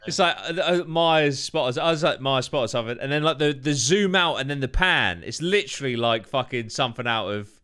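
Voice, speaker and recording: A man's voice: medium pitch, brisk, hardly any pauses, bright, forceful tone, smooth. Laid-back sweary guy. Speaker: paranormal channel host. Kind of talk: casual chat reacting to own video. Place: home room.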